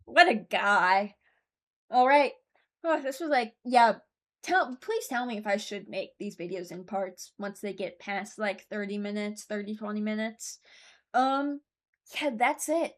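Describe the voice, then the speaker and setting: A woman's voice: medium pitch, a little slow. A young woman hosting a video with casual, informal commentary on game voice lines, rambling and often interrupting herself. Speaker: streamer and video host.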